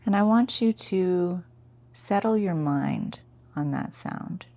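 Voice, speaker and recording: A woman teaching a guided meditation in a gentle, informal, encouraging way. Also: lowish voice, takes it slowly.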